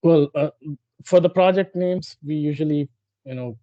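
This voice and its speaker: A man on staff speaking in an informal work meeting, halting and polite, repeating phrases as he goes. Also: fairly high pitch, no echo, lo-fi sound. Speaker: staff member in a training meeting.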